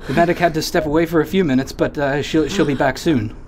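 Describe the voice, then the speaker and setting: A man's voice: a little high, fast, noisy background, close to an everyday voice. An actor playing an awkward, literal-minded young man on a spaceship crew who stammers when nervous. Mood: flustered.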